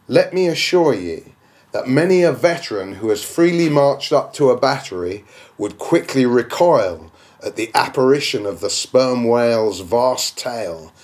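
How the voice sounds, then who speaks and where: Man reading a literary audiobook, mid-pitched. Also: a little mellow. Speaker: audiobook reader.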